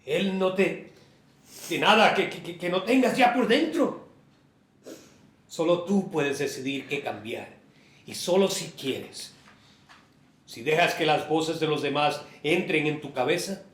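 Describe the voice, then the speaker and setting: An actor playing a gentle man who earnestly pleads with someone he loves. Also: fairly high pitch, expressive, moderate pace, pauses often, roomy sound. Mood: pleading. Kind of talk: audio drama acting.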